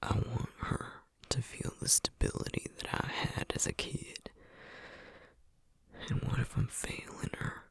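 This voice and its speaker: A man playing a gentle, burnt-out sorcerer dad with a Southern American accent in a whispered ASMR roleplay, halting and full of self-doubt. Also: no room sound, lightly acted. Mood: self-doubting, low. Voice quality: hushed, breathy whisper. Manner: halting, confiding, with sighs and trailing pauses.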